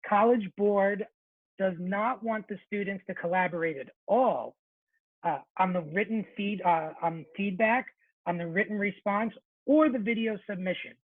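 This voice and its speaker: A man presenting an informal webinar for teachers, chatty and relaxed, often correcting himself mid-sentence. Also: high voice, measured pace, no echo. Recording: thin phone-like webinar audio, dry.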